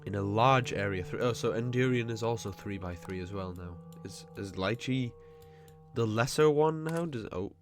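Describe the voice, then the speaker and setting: A man's voice: lowish voice, music in the background. Man with a stuffy, nasal cold voice. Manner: nitpicking, chatty, quick asides. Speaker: gaming video host. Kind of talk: casual gameplay commentary.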